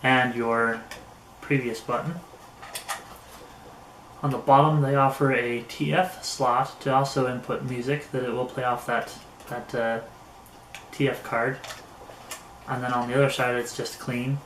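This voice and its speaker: A man giving a casual hands-on product review, speaking in a halting way with pauses mid-phrase. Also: mid-range voice, little pitch movement, a bit bright. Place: indoors in an echoey room. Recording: roomy echo, faint background noise.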